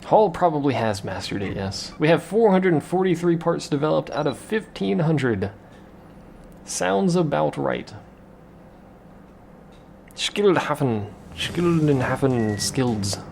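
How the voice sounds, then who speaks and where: A man's voice: a little high, lively intonation, measured pace, creaky, darkish tone, a little hiss or hum. A man giving casual, rambling gameplay commentary with a joking, self-mocking manner. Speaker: let's play commentator.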